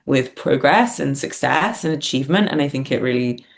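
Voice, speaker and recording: A woman with a gravelly, creaky edge to her voice talks as a guest in a reflective interview. She thinks aloud, hesitating and rephrasing as she searches for the honest way to put things. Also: a bass voice, animated, normal speed. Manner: hesitant, thinking aloud, punctuated by small laughs. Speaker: guest, writer-performer.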